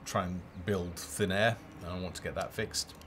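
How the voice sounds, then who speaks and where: Deep-voiced man presenting a game livestream. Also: steady tone, moderate pace, a bit bright, slight background noise. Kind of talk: casual live game demo commentary. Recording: music behind the voice.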